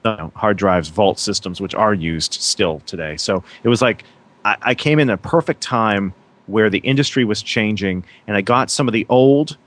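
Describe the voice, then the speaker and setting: A man's voice: fairly low pitch, moderate pace, fairly bright tone. A man in his thirties from Massachusetts, a radio DJ chatting as a guest in a casual interview, rambling with many fillers and restarts. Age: 30s.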